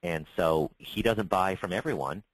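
Deep-voiced man explaining. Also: normal speed, no echo, poor audio. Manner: conversational, frequent restarts and repeated words. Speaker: trade association spokesman. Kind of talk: webinar Q&A answer.

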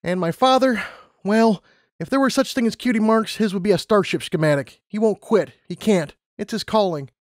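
A man's voice: sits high, normal speed, dry recording, clean studio sound, pitched above the performer's own voice, close to an everyday voice. A man voicing an earnest, anxious young female unicorn who wants to be a pilot, speaking insistently in a narrated story. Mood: defensive, insistent. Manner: insistent, pleading.

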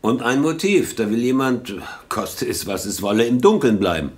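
A man's voice: mid-range voice, expressive, moderate pace, natural voice. A man playing a hungover, breezy police detective in a crime drama, loose and colloquial with a groaning manner. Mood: musing. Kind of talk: naturalistic audio drama dialogue.

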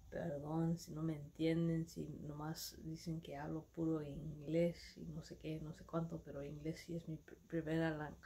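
Low, gravelly laid-back woman vlogger. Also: a little mellow. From Dallas, Texas. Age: 30s. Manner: laid-back, slangy, rambling with many fillers. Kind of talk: casual vlog chat to followers. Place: at home.

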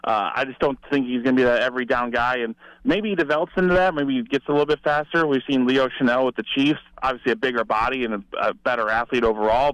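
Guest sports analyst, male. Mid pitch, crisp resonant voice, dry sound, talking through football prospects conversationally. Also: fairly bright tone, no room sound, muffled recording.

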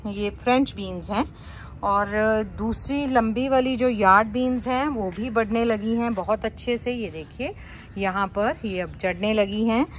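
Woman, fairly high pitch, casual vlog narration, outdoor background noise. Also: a little slow. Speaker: gardening vlogger. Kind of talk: casual garden tour narration.

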